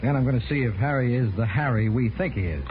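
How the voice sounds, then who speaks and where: An actor playing a brisk, polite but pressing FBI agent in 1950s radio drama style. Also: male voice, medium pitch, background noise, close to an everyday voice. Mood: brisk, official. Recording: tinny, band-limited 1950s radio recording, music.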